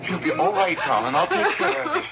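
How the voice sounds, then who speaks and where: An anxious husband, wildly swinging voice. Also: male voice, high voice, huge pitch swings. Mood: anxious, reassuring. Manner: urgent, halting, dramatic delivery. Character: husband, anxious but trying to stay calm and reassuring. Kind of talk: radio horror play acting. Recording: muffled, faintly noisy radio drama recording.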